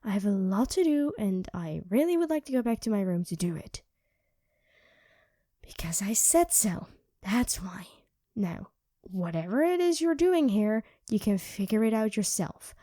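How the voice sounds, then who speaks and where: A woman's voice: mid-range voice, lively intonation, a little slow, dry recording, clean studio sound, lightly acted. Woman playing a snappy, whispering vampire. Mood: evasive. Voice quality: drops into soft whispers. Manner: sharp, snappy and sarcastic. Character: irritable young female vampire craving blood. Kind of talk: ASMR roleplay.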